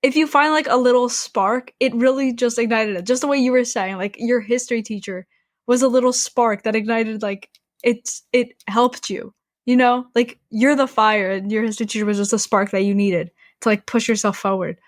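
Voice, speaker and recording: A woman's voice: a little high, steady tone, moderate pace, dry recording, clean studio sound. A teenage woman co-hosting a podcast, talking earnestly and reflectively in a casual chat, with many fillers. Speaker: podcast co-host. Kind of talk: casual chat among friends.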